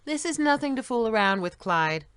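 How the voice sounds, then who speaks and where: An exasperated middle-aged mother in an audio drama. Her voice is bright and forceful, recorded very dry, as she firmly scolds and fusses to keep the peace. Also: on the high side, very animated, moderate pace, smooth, no room sound. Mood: brisk, fussing. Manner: scolding, firm, coaxing. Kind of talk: audio play acting.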